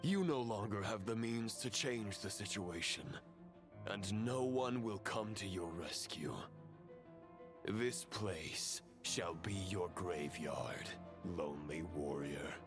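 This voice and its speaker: A solemn phantom of a fallen knight in a video game. The voice is on the low side and very unhurried, with cold conviction and archaic, formal phrasing. Dramatic music plays clearly behind it. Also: male voice. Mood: solemn, grave.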